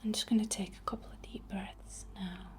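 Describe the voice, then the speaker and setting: A young woman whispering breathily and gently as she guides an ASMR meditation in an informal, unhurried way. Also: mid-range voice, little pitch movement. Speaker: ASMR host guiding a healing session.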